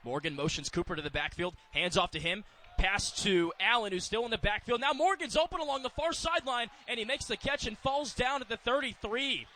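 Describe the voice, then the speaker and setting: Bright-voiced American man calling football play-by-play. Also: very high-pitched, a little fast, slight background noise. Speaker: radio play-by-play announcer.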